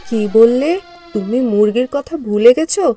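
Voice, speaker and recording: A woman playing a sharp-tongued village wife in a cartoon, with a slightly raised, mellow voice. She nags and scolds at every turn. Her impatience never lets up. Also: fairly high pitch, normal speed, soft background music, natural voice. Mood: furious. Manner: scolding, nagging. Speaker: cartoon voice actor. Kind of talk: cartoon voice acting.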